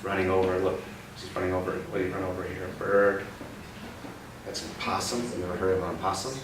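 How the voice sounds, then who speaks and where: A young man reading a picture book aloud to a child, pausing often to ask gentle questions about the words. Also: low-pitched. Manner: expressive storybook reading broken by gentle teaching questions. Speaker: read-aloud host. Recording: roomy, distant mic with background noise.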